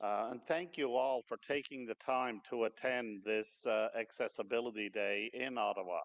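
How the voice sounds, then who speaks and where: Man, committee member, podium speech, loud voice, hesitant fillers. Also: medium pitch, normal speed, dull audio. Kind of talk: formal public speech. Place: large city hall event space. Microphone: podium microphone.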